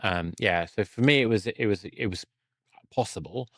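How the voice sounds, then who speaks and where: A man speaks as a podcast interviewer with a slightly low voice. The recording is dry, with little room around him. He talks conversationally, rephrasing as he thinks aloud. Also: normal speed, fairly bright tone, dry recording. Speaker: podcast host.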